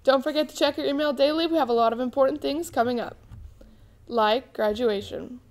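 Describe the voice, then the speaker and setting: A woman's voice: high-pitched, brisk. A young woman co-anchoring a student morning news show in a small studio, reading announcements steadily. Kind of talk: school morning news broadcast. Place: indoor studio before green screen.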